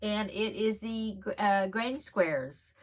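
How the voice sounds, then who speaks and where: A woman talks through her crochet projects in a relaxed, rambling way, full of ums and little giggles. One side of her nose is stuffed up, which gives her voice a slightly blocked sound. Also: mid-range voice, takes it slowly. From Texas. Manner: chatty, giggly, rambling with frequent fillers. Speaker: crochet podcast host. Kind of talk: casual show-and-tell chat.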